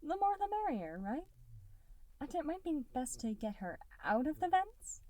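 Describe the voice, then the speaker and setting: A gentle female friend who speaks delicately and with tact, as a woman reads her. Her voice has a lively, rising lilt. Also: high voice, normal speed, dry recording, voice pushed up.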